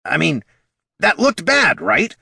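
A man doing Bert, a high twitchy kobold. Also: wildly swooping pitch, slow, creaky, bright, forceful tone, breathy edge, no room sound, studio quality, full character voice. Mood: coaxing. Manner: rambling, coaxing, talks himself in circles. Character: nervous chatty male kobold, small and scrappy.

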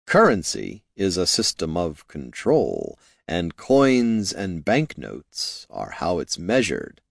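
A man voicing a calm, philosophical male mage who speaks slowly and in a measured way, in a fantasy audiobook. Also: deep voice, creaky, dry recording, clean studio sound, full character voice. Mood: musing. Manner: slow and measured.

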